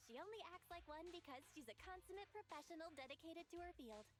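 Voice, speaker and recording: A woman's voice: extremely high pitch, lively intonation, fast talker. A woman voicing a small, teasing, matter-of-fact companion character in a video game. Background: music.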